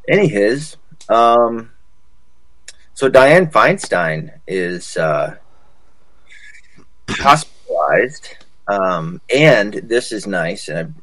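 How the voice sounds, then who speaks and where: Male podcast co-host, lower register, loose and sweary conversational delivery, dry close sound. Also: a bit bright, no room sound, low-quality recording. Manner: profane, colloquial, calls listener dude. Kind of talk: casual, rambling political chat and anecdote.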